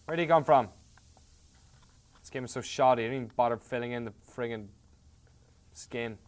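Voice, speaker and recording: A man's voice: medium pitch, fairly quick. An Irish man reading a game's dialogue aloud, with sarcastic, swearing asides between the lines. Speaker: host playing a game.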